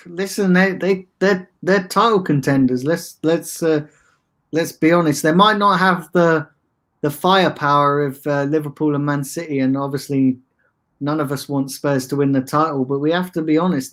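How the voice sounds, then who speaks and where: A man's voice: a little high, fairly even intonation, normal speed, a bit bright. A man with a soothing voice chatting casually as a guest about football, laid-back and hesitant, with restarts and fillers. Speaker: guest, fan channel streamer.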